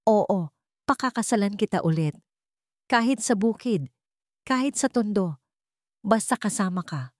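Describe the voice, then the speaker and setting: A woman's voice: medium pitch, animated, a little slow, pauses often, no room sound, clean studio sound, voice pushed up, lightly acted. A woman storyteller voicing an emotional, affectionate young bride-to-be in a romance story. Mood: loving, resolved. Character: tearful young bride-to-be, loving and devoted.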